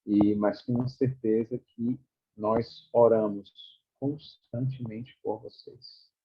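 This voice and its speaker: A man's voice: mid-range voice, fairly even intonation, slow, breathy edge. A man with a Brazilian Portuguese accent giving earnest, prayerful closing words in an online class. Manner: earnest, repetitive prayerful delivery. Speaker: guest speaker. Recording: muffled lo-fi audio.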